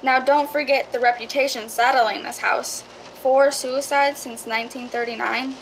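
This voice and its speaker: A woman's voice: high-pitched, steady tone, moderate pace, a little hiss or hum, low-quality recording. A woman playing a chatty, folksy property seller in a radio drama, eager and persuasive. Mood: eager, reassuring. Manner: eager, reassuring, folksy salesmanship.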